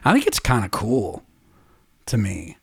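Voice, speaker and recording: A middle-aged man talking casually as a podcast co-host, chatty and quick to laugh as he wanders into stories. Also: fairly low pitch, huge pitch swings, vocal fry, breathy edge, no echo, clean studio sound. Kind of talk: casual chat.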